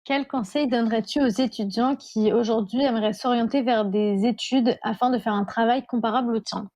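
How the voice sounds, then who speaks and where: A woman's voice: a little high, steady tone, moderate pace, a little mellow, dry recording. A young woman from the Paris region asking questions as an interviewer. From Paris region, France.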